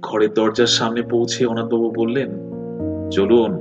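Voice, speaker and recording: A man's voice: medium pitch, normal speed, dull audio. A man narrating a horror story in the first person, in a theatrical, suspenseful way. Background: music.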